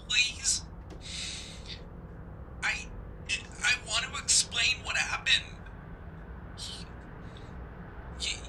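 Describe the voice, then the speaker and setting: An actor playing a pleading, apologetic caller in an audio drama, halting, heard through a telephone voicemail. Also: medium pitch. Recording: distant, noisy voicemail phone playback.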